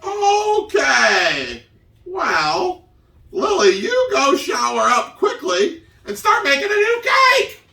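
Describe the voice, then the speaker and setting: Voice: extremely high pitch, huge pitch swings, takes it slowly, gravelly, bright, forceful tone, heavily acted. A performer voicing a cheerful, bossy puppet housemate in an amateur puppet show. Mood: urgent. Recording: roomy, distant microphone.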